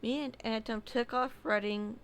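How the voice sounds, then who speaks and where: A woman narrating a submitted true horror story, reading slowly with small slips and casual asides. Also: fairly high pitch, fairly even intonation, smooth.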